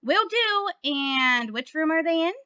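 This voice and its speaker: A woman's voice: sits high, expressive, measured pace, pushed and bright, lo-fi sound, voice pushed up, exaggerated voice. A woman voicing a polite, inquisitive female angel daughter in a storybook reading. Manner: friendly, inquisitive.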